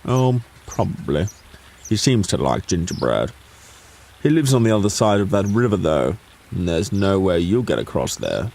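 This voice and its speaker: A man voicing a sly, dry fox in a children's audio story, with an unhurried, sardonic drawl. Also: deep voice, very animated, vocal fry, a little mellow, heavily acted. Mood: feigned indifference. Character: sly, dry, patient male fox feigning indifference.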